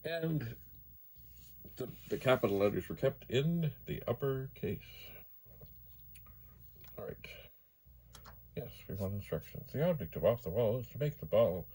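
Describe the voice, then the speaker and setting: A man's voice: medium pitch, lively intonation, a little slow, pauses often, dark tone, husky, poor audio. A man hosting a hobby video, giving casual, rambling commentary as he demonstrates, with musing asides to himself. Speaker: host of a retro computer video channel.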